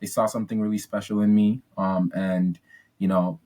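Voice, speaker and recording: A man's voice: lowish voice, level tone, moderate pace, warm tone. A man in his twenties from Ghana, telling his story as a podcast guest in a chatty, upbeat way with many ums and restarts. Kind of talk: casual interview storytelling.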